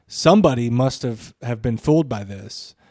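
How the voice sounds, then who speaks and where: A man's voice: mid-range voice, animated, normal speed, dark tone, no echo. A man co-hosting a podcast with casual, opinionated commentary, emphatic, repeating phrases for stress and swearing freely. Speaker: podcast co-host.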